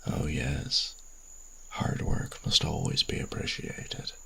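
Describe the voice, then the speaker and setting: A man playing a formal, stern vampire priest in an audio drama, speaking in measured, archaic phrases with a raspy, breathy voice. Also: bright, forceful tone, heavily acted. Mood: solemn, pointed. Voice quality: raspy, gravelly, breathy low voice. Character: formal vampire priest, male agent of a church, stern and condescending. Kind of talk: scripted audio roleplay drama.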